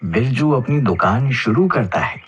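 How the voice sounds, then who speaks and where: A man's voice: medium pitch, animated, a little slow, lo-fi sound, slight background noise. A man narrating a scripted cartoon moral story. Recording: faint background music under the voice.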